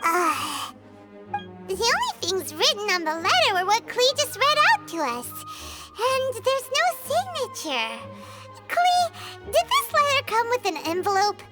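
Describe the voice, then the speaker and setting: Game casting: tiny skeptical fairy girl, squeaky and extremely high, swooping chatty delivery, loud music behind. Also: big pitch swings, measured pace, full character voice. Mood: puzzled. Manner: squeaky, chatty, speaks of self in third person. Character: tiny female fairy-like companion, excitable, bossy, skeptical. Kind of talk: video game cutscene acting.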